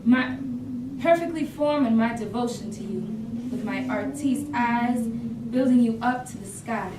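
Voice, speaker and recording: An echoey, distant recording of a higher-pitched actress playing a searching, defiant woman. She speaks in poetic lines, as in a staged play reading, with clear noise behind her. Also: measured pace, close to an everyday voice. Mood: devoted, lyrical.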